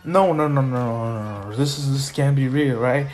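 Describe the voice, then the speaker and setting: Someone acting out a flustered, excitable teenage boy hero fan. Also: mid-range voice, normal speed, faint music behind, natural voice. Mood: panicked, guilty. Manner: stammering, excitable.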